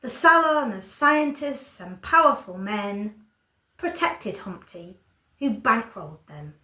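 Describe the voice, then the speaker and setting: A woman performing a dramatic spoken-word poem with measured, deliberate phrasing. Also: on the high side, expressive, bright, forceful tone. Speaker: poetry performer. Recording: echoey, roomy recording.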